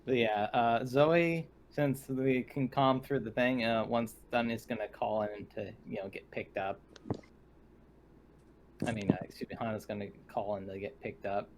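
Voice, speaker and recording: A man's voice: medium pitch, fairly even intonation, moderate pace, slight background noise, poor audio. A man chatting casually as a player in a tabletop roleplaying game, rambling and correcting himself as he explains.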